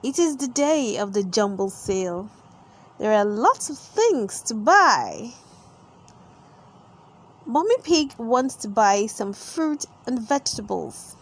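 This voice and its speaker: A woman hosting and narrating a children's storybook in an expressive read-aloud style. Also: a little high, slow, husky. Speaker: storybook reader and channel host.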